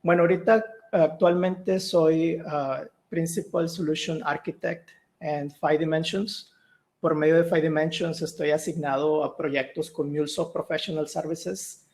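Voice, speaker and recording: A middle-aged man from Mexico, giving a tech talk on a video call, relaxed and full of "eh" fillers. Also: a little high, a little slow. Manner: relaxed, with many "eh" and "ah" fillers and restarts. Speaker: guest speaker, solution architect. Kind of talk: online tech meetup presentation.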